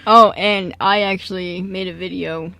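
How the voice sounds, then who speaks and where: A woman's voice: on the low side, steady tone, measured pace, vocal fry, pushed and bright. A young American woman co-hosting a student radio show, chatting informally in a hesitant, slangy, giggly way. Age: young adult. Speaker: college radio show co-host. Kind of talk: casual, informal radio chat.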